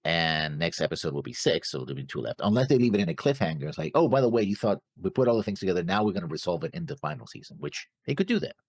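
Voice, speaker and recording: Man, deep voice, swinging intonation, halting and insistent, casual co-host discussion. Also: big pitch swings, slightly rough, no echo. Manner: halting, searching for words, insistent. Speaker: reaction show co-host. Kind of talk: casual theorizing about an animated series.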